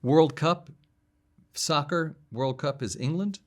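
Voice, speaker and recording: A man interviewing a business guest, firing off brisk, quick prompts. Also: on the high side. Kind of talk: interview questions.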